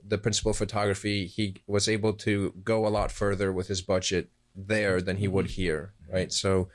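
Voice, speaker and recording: Low-voiced man rambling casually. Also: flat delivery, moderate pace. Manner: loose, rambling with many fillers. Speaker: film crew member, camera operator. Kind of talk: casual interview chat.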